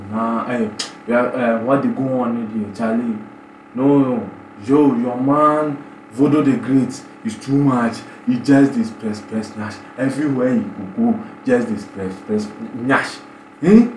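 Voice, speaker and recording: A young man in his twenties with a Nigerian Pidgin accent, hosting an animated reaction vlog full of exclamations and stammered repeats. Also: medium pitch, roomy sound, slight background noise, rough recording. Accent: Nigerian Pidgin English. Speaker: YouTube reaction host. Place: small bedroom indoors.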